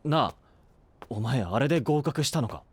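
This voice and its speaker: An actor playing a brash, sceptical young would-be knight with a blunt, rough manner in an anime voice drama. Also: male voice, medium pitch, animated, moderate pace. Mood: exasperated, puzzled.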